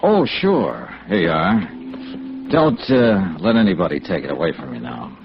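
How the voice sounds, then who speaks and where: A hard-boiled detective from vintage radio noir, lowish and gravelly, with an American accent. His dry, wry delivery swings freely in pitch, on a dull old recording. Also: very animated, normal speed, background noise. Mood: wry, cool. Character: wry, hard-boiled male insurance investigator. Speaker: narrator and lead actor. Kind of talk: 1950s radio noir acting, first-person narration.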